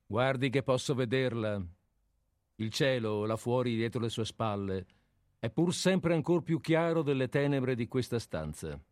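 A man's voice: medium pitch, animated, a little slow, darkish tone, close to an everyday voice. Man acting composed, courteous man in radio play. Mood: calm, dry. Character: composed, courteous man receiving a night intruder.

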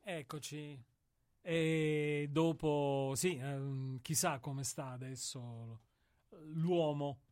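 A man chatting casually as a radio studio guest, halting and repeating his words. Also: on the high side, steady tone, darkish tone, clear, resonant tone, dry recording.

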